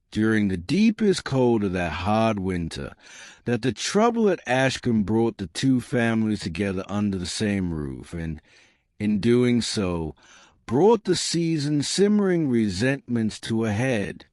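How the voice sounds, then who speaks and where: Slow, low-pitched male storyteller with lively intonation. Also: dry recording, studio quality. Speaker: audiobook narrator. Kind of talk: scripted dramatic storytelling narration of historical romance.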